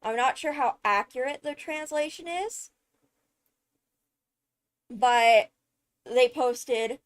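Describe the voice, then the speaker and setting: Woman doing fan commentary, highish voice, really slow and dramatic, lots of emphatic pauses. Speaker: video creator analysing a game character. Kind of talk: enthusiastic fan commentary, thinking aloud.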